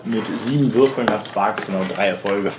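A man with a lowish voice works as game master, narrating a tabletop role-playing game and calling for rolls. The recording is roomy and echoey, with clear noise behind him. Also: moderate pace.

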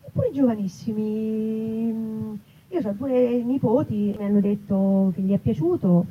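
A woman's voice: a little high, measured pace. A woman informally presenting her book at a live event, colloquial and wry. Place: festival event in an olive grove. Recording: dull live event recording, faint noise.

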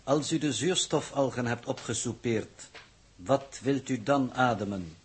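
Precise, cold-blooded coordinator in sixties sci-fi radio drama; medium-pitched, slightly rough, stiffly formal. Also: male voice. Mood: dry, formal. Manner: formal, measured, pedantic. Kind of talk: 1960s radio drama acting.

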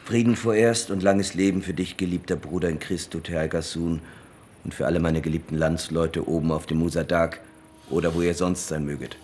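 An actor playing a grave elder who reads out a mournful letter in a solemn, slow way in a radio play. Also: male voice, low-pitched, flat delivery, darkish tone, a little hiss or hum, natural voice. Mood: solemn grief. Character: grave older man reading a dying pastor's letter aloud. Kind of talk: German radio play acting.

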